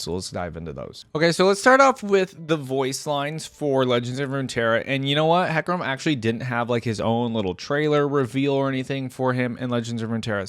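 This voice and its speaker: A man's voice: mid-range voice, no echo. A man hosting a reaction video in a casual, chatty way, reading things out and commenting informally. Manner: informal, reads out then comments.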